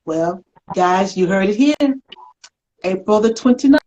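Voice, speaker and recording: A woman hosting a live online interview show with an emphatic, deliberate, announcer-like delivery. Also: mid-range voice, animated, a little mellow. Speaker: show host. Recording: slightly dull, lo-fi stream.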